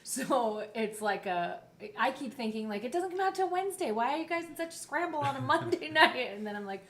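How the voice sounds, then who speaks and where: A woman's voice: high-pitched, big pitch swings, a little fast, fairly bright tone. A woman in casual conversation as a podcast co-host. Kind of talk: casual chat.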